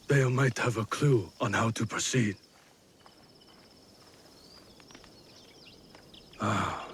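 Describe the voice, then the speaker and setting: A grave, weary samurai strategist played by a male actor in a game cutscene. His mid-pitched voice is measured and solemn, cautious in every line, with faint outdoor ambience behind it. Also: slight background noise, close to an everyday voice. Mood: measured, wary. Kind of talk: dramatic video game cutscene acting.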